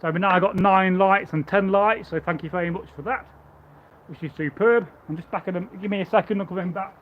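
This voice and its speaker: A man live-streaming as a Viking reenactor, chatting loosely and stammering through restarts with a loud voice, outdoors at home. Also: sits high, a little fast, phone-quality sound, slight background noise. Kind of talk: casual live stream chat and demonstration.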